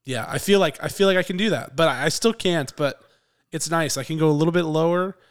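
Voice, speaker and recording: A man co-hosting a podcast in casual chat, a little hoarse from a cold, chatty and quick to laugh. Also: fairly high pitch. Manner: chatty, laughs often, restarts phrases. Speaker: podcast co-host.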